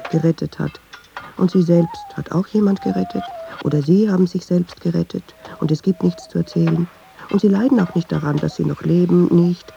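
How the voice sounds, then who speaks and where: A woman's voice: on the low side, gravelly, mellow, highs cut off, slight background noise. A woman playing a probing, dryly sceptical interviewer in a radio drama, speaking tersely.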